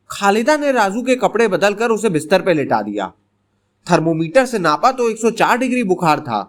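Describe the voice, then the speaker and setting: A man narrating a scripted bedtime story in an expressive, dramatic storytelling manner. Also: high-pitched.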